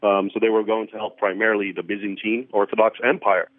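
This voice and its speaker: A man appearing as a guest expert in an interview, explaining history in a brisk, lecturing way with frequent restarts. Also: lowish voice, flat delivery, no echo. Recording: tinny phone line.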